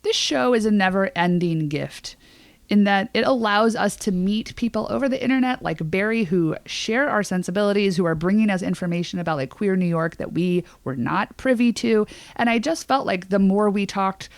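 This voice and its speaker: A woman's voice: medium pitch, normal speed, no echo. A woman co-hosting a podcast, chatting casually in an enthusiastic, emphatic way. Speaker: podcast co-host. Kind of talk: casual chat.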